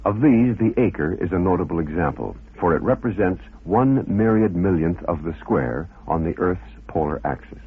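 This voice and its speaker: Very deep-voiced man, tinny radio sound. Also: lively intonation, a little hiss or hum. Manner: formal, measured, expository. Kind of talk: scripted narration, reading scholarly text. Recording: muffled phone-like old radio recording.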